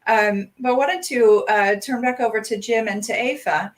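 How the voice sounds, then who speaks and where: A woman's voice: a little high, moderate pace. Woman moderator, gracious, steers questions, video call audio. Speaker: panel moderator. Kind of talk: panel discussion talk.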